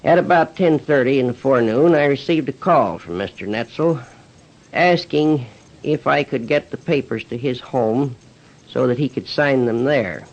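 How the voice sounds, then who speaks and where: A man giving formal, deliberate testimony as a prosecuting attorney, speaking in a halting way. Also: medium pitch. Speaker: special assistant prosecuting attorney. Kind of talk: formal testimony for a radio documentary. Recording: dull, band-limited old radio recording.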